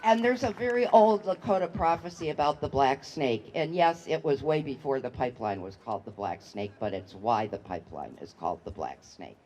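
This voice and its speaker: Woman giving a solemn, lively rally speech. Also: very deep voice, slight background noise, poor audio. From United States. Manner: slow, solemn delivery, rising to shouted calls. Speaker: rally speaker, human rights activist. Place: outdoor rally with a large crowd. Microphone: public address microphone.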